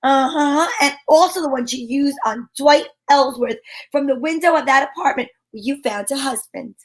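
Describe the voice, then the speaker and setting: A woman playing a sharp-witted, wisecracking private detective in an old-time radio drama, talking in breezy slang. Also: sits high, moderate pace, close to an everyday voice. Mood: wry, explaining.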